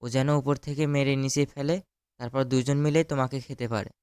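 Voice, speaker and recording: A man's voice: medium pitch, little pitch movement, fast talker, a little mellow, clear, resonant tone, no room sound, close to an everyday voice. A man voicing a helpful, watchful animal friend in a children's cartoon, earnest and explanatory. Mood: earnest, warning.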